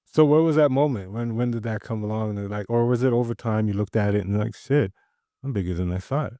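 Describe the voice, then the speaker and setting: Low-voiced male host, dry sound. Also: brisk, mellow, no room sound. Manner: encouraging, with frequent short backchannels. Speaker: podcast host. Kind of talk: casual interview questions.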